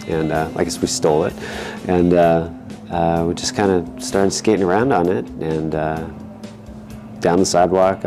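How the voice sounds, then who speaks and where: A man reminiscing casually in an interview, with halting, hesitant phrasing. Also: deep voice. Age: 40s. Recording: music bed under the voice. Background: instrumental music.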